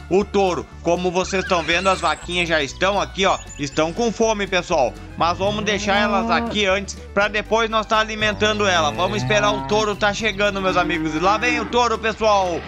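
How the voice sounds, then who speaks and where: A man narrating his toy farm play for viewers in a chatty, friendly way, with drawn-out herding calls to the cattle. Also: high-pitched. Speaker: toy farm channel host. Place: outdoors in a dirt yard with toy animals. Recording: background music under the voice.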